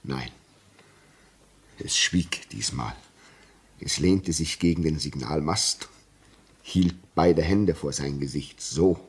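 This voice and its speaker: An actor playing a grave, shaken railway signalman in a German radio play, telling his story haltingly and insistently. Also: very deep voice, slightly rough, heavily acted. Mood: haunted recounting. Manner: slow, halting, repeats words for emphasis.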